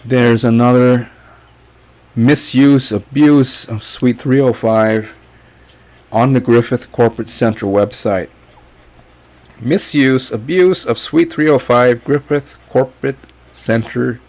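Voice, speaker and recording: A blunt man from Guyana talks viewers through his screen in a casual, mocking rant, swearing as he goes. His voice sits at an ordinary middle pitch for a man. He sounds like an online creator talking straight to his audience. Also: fairly even intonation, slow, a little mellow. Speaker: online income youtuber.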